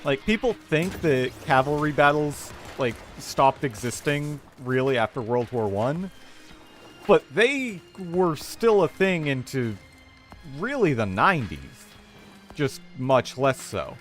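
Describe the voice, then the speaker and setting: A man streaming a game and rambling casually, thinking aloud in a halting way as he plays. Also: a little high, big pitch swings, soft background music. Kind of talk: casual rambling commentary while gaming.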